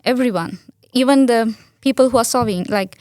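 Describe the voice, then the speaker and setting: A woman's voice: a little high, normal speed, a little mellow, no echo, studio quality. A young woman from Sri Lanka with a Sri Lankan accent, a native Sinhala speaker, answering interview questions earnestly with many restarts and fillers. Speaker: guest.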